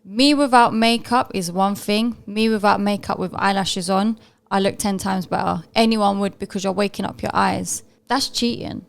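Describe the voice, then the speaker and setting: Female co-host, gravelly vocal fry at an average pitch, slangy and argumentative banter. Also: fairly even intonation, moderate pace. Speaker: reaction video co-host. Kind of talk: casual reaction chat.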